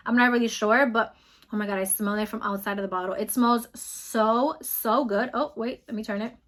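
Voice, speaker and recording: Fast-talking New York woman in her twenties. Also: a little high, fairly even intonation, fairly bright tone. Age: 20s. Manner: fast-talking, bubbly and emphatic. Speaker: beauty vlogger. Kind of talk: casual product review vlog.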